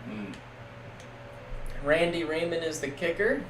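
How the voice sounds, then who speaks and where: A young man streaming casual, jokey play-by-play of a simulated football league, getting loud on big plays. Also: fairly high pitch, big pitch swings, takes it slowly. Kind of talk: live-stream game commentary. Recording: echoey room with faint background noise.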